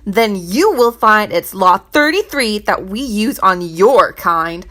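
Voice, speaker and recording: A loud, furious storybook treasurer who roars and rants at a debtor, as a woman reader puts him on. He is higher than a grown man usually sounds, and very forceful. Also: expressive, takes it slowly. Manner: ranting, booming. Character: loud angry male royal treasurer.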